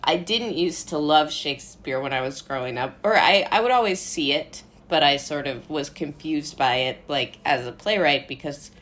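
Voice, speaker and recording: A young American woman from Brooklyn in her twenties, chatting casually as an interview guest in a rambling, animated, giggly way. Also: deep voice, moderate pace, hardly any pauses. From Brooklyn, United States. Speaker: interview guest, playwright and actor. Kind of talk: casual chat.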